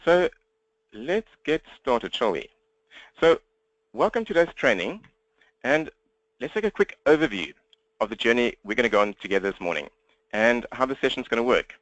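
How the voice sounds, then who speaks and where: A man's voice: medium pitch, animated, a bit bright, no room sound, highs cut off. A man with a South African accent giving a live webinar training from his laptop, upbeat and direct. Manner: fast-talking, upbeat and direct. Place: at home desk speaking to laptop.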